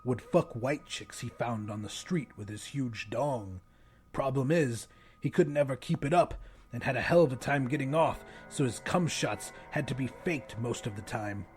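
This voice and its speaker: A man voicing a gruff, laid-back, sardonic male smoker in an audiobook, with blunt casual talk. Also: normal speed, a little mellow, faint music behind, close to an everyday voice. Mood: dismissive. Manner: low-key, blunt, casual.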